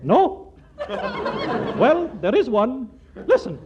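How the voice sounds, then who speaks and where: An actor playing a punchy newsreel announcer in a 1940s radio comedy. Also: male voice, extremely high pitch, huge pitch swings, drawn-out words, exaggerated voice. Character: brash newsreel announcer man. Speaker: newscaster. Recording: hissy muffled 1940s radio broadcast.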